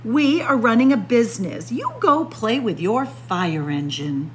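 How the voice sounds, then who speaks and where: A woman's voice: a little high, very animated, a little slow, a little hiss or hum. A woman voicing a bossy young girl bunny, a big sister, in an emphatic storybook delivery. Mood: scolding.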